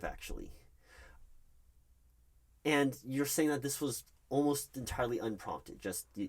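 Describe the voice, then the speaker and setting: A man playing a nervous, polite recruiting manager in a one-sided ASMR job interview roleplay, speaking hesitantly with awkward professionalism. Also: fairly low pitch. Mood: concerned, careful. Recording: clear background noise with keyboard typing. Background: loud keyboard typing and clicks.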